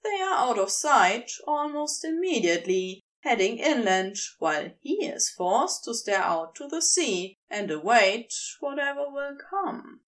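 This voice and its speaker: A woman narrates fiction like an audiobook reader. Her voice sits fairly high and moves with lively, wide intonation. She takes her time, very slow and deliberate through each phrase. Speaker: podfic narrator reading fan fiction. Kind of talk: scripted narration, audiobook-style storytelling.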